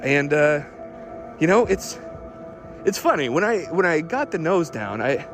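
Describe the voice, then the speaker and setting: A man acts out an obsessive car fanatic in a fairly high male voice, with music playing underneath. He rambles through an audio diary, stammering and restarting with breathless eagerness. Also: sing-song, unhurried. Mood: fixated, uneasy. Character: excitable, obsessive man smitten with his old car.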